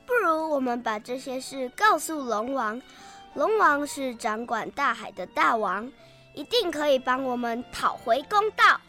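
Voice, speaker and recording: A woman's voice: high-pitched, sing-song, unhurried, bright, forceful tone, faint music behind, exaggerated voice. A woman voicing a pained, indignant young sea turtle in a children's radio play, plaintive and exclamatory. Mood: concerned.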